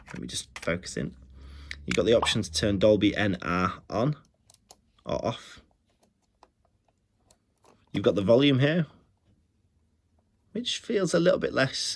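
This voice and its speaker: A man with a fairly low voice presents a casual, hands-on review. He talks like a host to his viewers, chatty and keen on the little details. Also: creaky. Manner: chatty, enthusiastic about details. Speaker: retro electronics review host.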